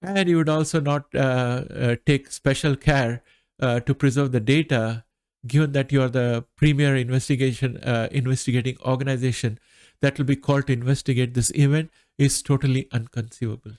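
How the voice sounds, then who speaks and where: A middle-aged man with a nasal voice from an allergy, hosting a solo market commentary with measured delivery and frequent pauses. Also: mid-range voice, fairly even intonation, a little mellow, no room sound. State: recovering from allergy. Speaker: finance channel host.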